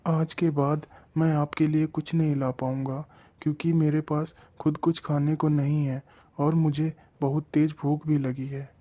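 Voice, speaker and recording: Guy doing a humble nice younger brother in a village cartoon, earnest, mellow and kinda even. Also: fairly high pitch, unhurried. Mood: dejected. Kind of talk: Hindi moral-story cartoon dialogue.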